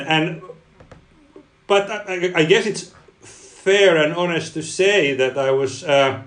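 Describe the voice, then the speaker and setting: A man whose first language is Finnish, a guest researcher answering interview questions in a halting way, often repeating words. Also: on the high side, roomy sound. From Finland. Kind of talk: podcast interview.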